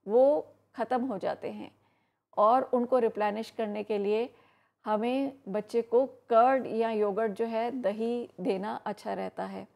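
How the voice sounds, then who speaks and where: A woman giving health advice on an educational program, explaining steadily with frequent pauses and fillers. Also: on the high side, steady tone, creaky, highs cut off. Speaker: health expert guest. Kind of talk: educational talk on live program.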